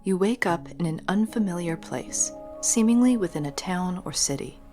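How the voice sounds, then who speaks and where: A woman playing a calm, mysterious guide in a scripted audio drama, addressing the listener. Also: lowish voice, moderate pace, close to an everyday voice. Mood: calm, ominous. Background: music.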